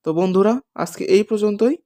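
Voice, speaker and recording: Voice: medium pitch, brisk, warm tone. A storyteller giving scripted first-person narration for a horror cartoon. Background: music with guitar.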